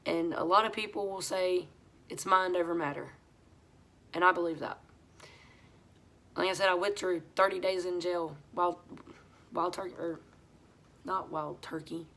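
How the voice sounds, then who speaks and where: A woman, hoarse from a head cold, telling her recovery story in a blunt, profane, confessional way. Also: fairly low pitch, fairly even intonation, moderate pace, long pauses. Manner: blunt and profane, talks straight to viewers; talks over her hoarseness, voice pushed a little louder than usual. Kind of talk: casual confessional monologue.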